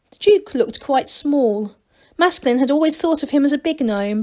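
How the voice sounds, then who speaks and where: A woman with a fairly high voice narrates a children's story. She reads deliberately and with plenty of expression, as if to young listeners. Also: a little mellow. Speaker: school staff reader. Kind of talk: expressive storybook reading aloud.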